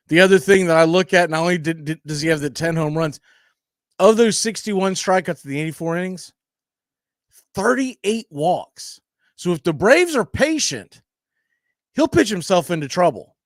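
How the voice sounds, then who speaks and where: A man's voice: on the high side, dry recording, clean studio sound. A man hosting a sports stream, giving conversational, folksy baseball pregame commentary. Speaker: sports radio host.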